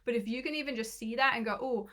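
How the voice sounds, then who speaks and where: Bright-voiced woman coaching, chatty and encouraging. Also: on the high side, fast. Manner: chatty, encouraging, many fillers and restarts. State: slightly croaky voice. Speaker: business coach. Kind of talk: live online training.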